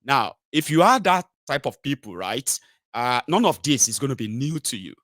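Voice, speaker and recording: A man's voice: medium pitch, sing-song, normal speed, a bit bright, no room sound, studio quality. A man with a Nigerian accent giving fiery political commentary, switching between Yoruba and English. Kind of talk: impassioned commentary.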